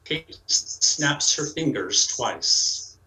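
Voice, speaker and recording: A man giving scripted dramatic narration in a deliberate, theatrical manner. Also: on the high side, animated, sharp tone. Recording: echoey room, faint noise.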